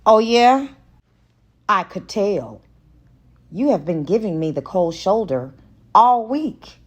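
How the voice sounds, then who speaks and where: A woman's voice: medium pitch, big pitch swings, slow. A woman narrator voicing a sassy, assertive, flirtatious woman in an audiobook romance.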